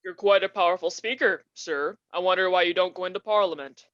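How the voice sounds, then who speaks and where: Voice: medium pitch, fairly quick, a bit bright, dry recording, highs cut off, natural voice. A young performer playing a grumpy, scornful old miser in a school radio play, curt and dismissive. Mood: scornful, irritable. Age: teenager or young adult.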